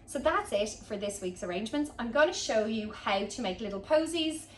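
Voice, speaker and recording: A woman's voice: fairly high pitch, expressive, a little fast. A woman giving a chatty, informal hands-on tutorial as a florist, talking casually as she demonstrates. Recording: roomy echo, distant mic, background noise.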